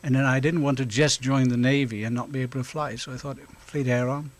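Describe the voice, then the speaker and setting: A middle-aged man from South Wales, answering interview questions in a halting way with frequent hesitations and an occasional chuckle. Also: medium pitch, fairly even intonation. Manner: halting, lots of hesitations, chuckles now and then. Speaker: guest, volunteer officer.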